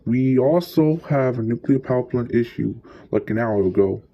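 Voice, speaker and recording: A man playing an anxious TV news anchor in an amateur mock disaster bulletin, halting and stumbling over his words. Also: medium pitch, muffled recording, natural voice. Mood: uneasy, informing.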